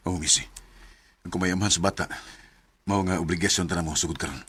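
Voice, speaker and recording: Frustrated young lover, low pitch, bright edge, Cebuano accent, earnest radio drama. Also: male voice, steady tone, fast, breathy edge, dry recording. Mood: earnest, tender. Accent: Cebuano Filipino. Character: frustrated young man in love, later tender and dutiful.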